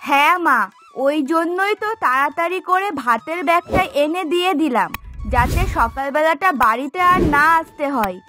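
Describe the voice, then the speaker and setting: A woman plays a dutiful young daughter-in-law from a cartoon family tale. Her voice sits high and has a forceful, bright edge. She talks in a chatty, practical way, coaxing and eager. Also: expressive, moderate pace, soft background music. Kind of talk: cartoon story dialogue.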